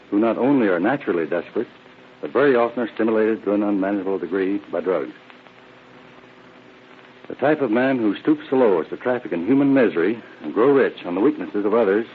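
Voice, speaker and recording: A man giving a formal, measured scripted radio address as a police captain introducing a case. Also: on the low side, slight background noise. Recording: narrow, lo-fi 1930s radio recording.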